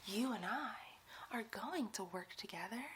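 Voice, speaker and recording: A woman's voice: medium pitch, animated, a little slow, fairly bright tone, slight background noise. A woman voicing a giddy, bossy young superhero sidekick in a whispered, theatrical, teasing ASMR roleplay. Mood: insistent, teasing.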